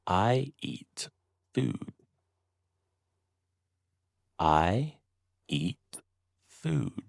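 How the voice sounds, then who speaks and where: A man reading a slow, scripted beginner English lesson, saying each short sentence twice with deliberate pauses. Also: on the low side, sing-song, creaky, no room sound, clean studio sound. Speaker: English teacher.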